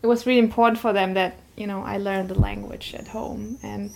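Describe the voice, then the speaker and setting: A woman from Germany whose first language is Vietnamese, recalling a childhood memory in a soft, reflective way. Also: mid-range voice, fairly even intonation, a little fast, gravelly, a little hiss or hum, rough recording. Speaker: interviewee. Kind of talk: personal storytelling.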